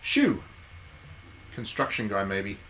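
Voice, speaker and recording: A man's voice: lowish voice, huge pitch swings, slow, sharp tone. Casual male game streamer with background noise. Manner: chatty, jokey, addressing viewers by name. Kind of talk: casual livestream commentary, reading game text aloud.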